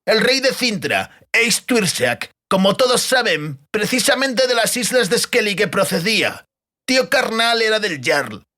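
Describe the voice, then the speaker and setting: A man's voice: high voice, big pitch swings, a little slow, sharp tone, voice pushed up, heavily acted. A man voicing an insistent male war veteran in a fantasy audiobook, emphatic and argumentative.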